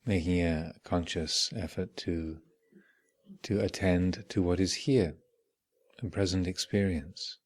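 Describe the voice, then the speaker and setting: A man leading a guided meditation as a teacher, speaking in measured, contemplative short phrases with long reflective pauses. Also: low-pitched, steady tone, a little mellow. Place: meditation retreat hall.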